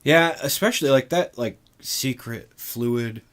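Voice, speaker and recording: A man co-hosting a casual discussion, reasoning out loud in an informal way and leaning on "like". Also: medium pitch, measured pace. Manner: informal, frequent "like" while reasoning. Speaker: co-host. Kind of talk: casual discussion of films and games.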